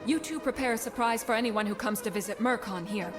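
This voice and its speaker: An actress plays a resolute female rebel leader in a space opera audio drama. Her fairly high voice is firm and defiant, with music clearly underneath. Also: fast, close to an everyday voice. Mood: cold, resolute.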